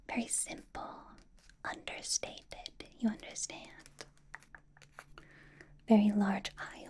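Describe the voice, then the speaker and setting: A woman voicing a possessive, doting, commanding ancient vampire boss in an ASMR roleplay, in a breathy whisper with coaxing hums. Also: mid-range voice, mellow. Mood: playful, coaxing.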